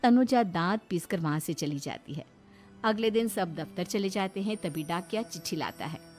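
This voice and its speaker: A woman narrating an animated moral story in an expressive storytelling style. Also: lowish voice, normal speed, soft background music.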